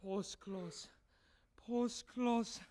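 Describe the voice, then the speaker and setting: A man's voice: high voice, fairly even intonation, very slow, dark tone. A male actor playing a childish, bawdy young man with silly, playful theatrical delivery. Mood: manic, playful. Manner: giggly, silly, playful animal baby-talk. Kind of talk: theatrical stage comedy acting. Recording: noisy stage recording.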